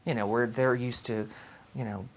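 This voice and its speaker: A man's voice: medium pitch, steady tone, moderate pace. A man talks reflectively in an interview. His voice sits in the middle range, with faint noise behind it.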